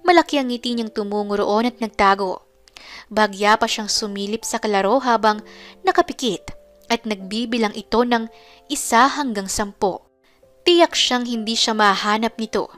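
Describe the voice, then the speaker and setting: A woman's voice: on the high side, moderate pace, fairly bright tone. A woman narrating a scripted romance story in a dramatic storytelling style. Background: background music.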